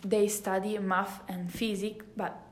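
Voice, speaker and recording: A woman's voice: mid-range voice, level tone, unhurried. A teenage female student from Italy with an Italian accent, a native Italian speaker chatting in an interview and searching for words in English. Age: teenager, high school student. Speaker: podcast guest, exchange student. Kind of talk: casual interview chat. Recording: roomy echo on the voice.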